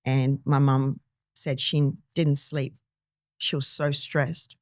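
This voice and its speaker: Low-voiced woman in her early fifties. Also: little pitch movement, slow, dry recording, studio quality. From Timor-Leste. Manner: earnest, personal, with many restarts and ums. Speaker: podcast guest. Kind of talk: conversational interview storytelling.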